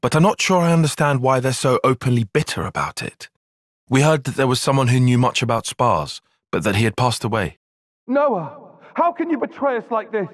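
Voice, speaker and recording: A man playing a cerebral, earnest young soldier and strategist in a video game, speaking in precise, formal, analytical language and quick to exasperation. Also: mid-range voice, big pitch swings, no echo, studio quality, lightly acted. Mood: alarmed, urgent. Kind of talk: video game cutscene voice acting.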